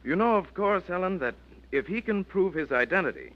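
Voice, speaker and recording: An actor playing George, a calm, cautious man who reasons carefully, in a 1940s radio drama. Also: sits high. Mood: measured. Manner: measured and reasoning. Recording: dull vintage radio recording.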